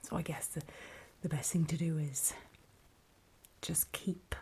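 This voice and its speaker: Lady playing a weary female zombie apocalypse survivor, super breathy whisper, mellow and hushed, ominous horror asmr. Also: deep voice, steady tone, a little slow, exaggerated voice.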